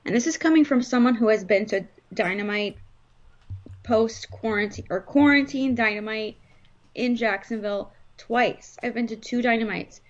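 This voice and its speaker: A woman co-hosting a live stream in casual chat, her voice hoarse, rambling with lots of fillers. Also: on the high side, moderate pace, dull audio. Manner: chatty, rambling, frequent fillers. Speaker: podcast co-host.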